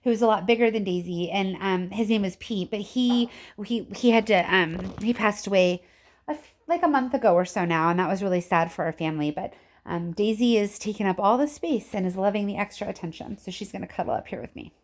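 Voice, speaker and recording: A woman's voice: medium pitch, brisk, a little mellow. Female storyteller for kids, mid-range voice, informal and friendly, chatty read-aloud delivery. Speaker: reader addressing children. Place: at home. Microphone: cell phone camera.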